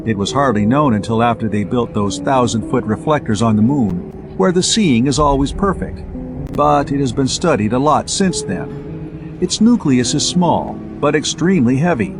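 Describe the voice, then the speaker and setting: A man's voice: mid-range voice, animated, normal speed, over music, lightly acted. A man voicing an eager, slangy spaceman inventor in a science fiction audiobook, explaining technical things breezily.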